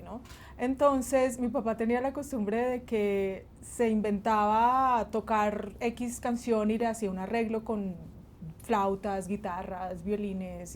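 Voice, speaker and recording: A middle-aged Colombian woman, a bassist and music teacher, talking about her life in an interview, laughing often and pausing between phrases. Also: darkish tone, a little hiss or hum.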